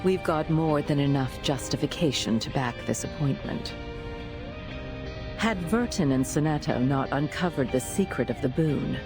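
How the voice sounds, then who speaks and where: Low-voiced, commanding senior official. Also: female voice. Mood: assured, businesslike. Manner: formal, measured, decisive. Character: composed, authoritative senior official, a woman in command. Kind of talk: dramatic video game voice acting. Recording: music under the voice. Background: background music.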